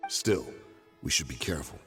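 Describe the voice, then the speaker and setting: A man's voice: extremely low pitch, expressive, normal speed, sharp tone, full character voice. A man playing a wary, cautious fighter in a video game. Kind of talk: video game cutscene dialogue. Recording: long reverb, game music behind.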